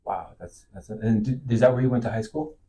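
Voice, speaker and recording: A man hosting a podcast interview in a casual, halting way, with lots of fillers and surprised interjections. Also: fairly low pitch, dark tone. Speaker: podcast host, real estate agent.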